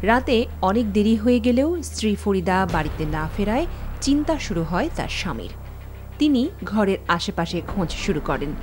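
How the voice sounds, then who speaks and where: A woman's voice: mid-range voice, sing-song, fairly quick, a little mellow. Woman reading news over music. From Bangladesh. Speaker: news reporter. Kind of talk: scripted news narration.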